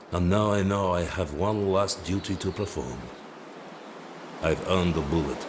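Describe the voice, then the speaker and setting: An actor playing a stern, weary Soviet colonel in a video game cutscene, speaking in a grave, measured way. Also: male voice, rumbling low, background noise.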